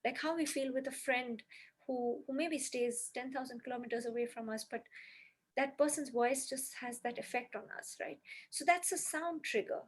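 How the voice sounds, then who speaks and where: A woman's voice: high voice, moderate pace. A woman on a podcast earnestly explaining her music healing method step by step, with many "you know"s.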